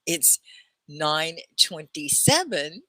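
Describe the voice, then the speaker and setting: A woman's voice: low-pitched, big pitch swings, extremely slow, sharp tone, no room sound, rough recording. An American woman hosting a live English class, cheerfully greeting viewers by name and laughing often. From United States. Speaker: English teacher and livestream host. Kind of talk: livestream class chat. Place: home studio near Arkansas–Oklahoma border.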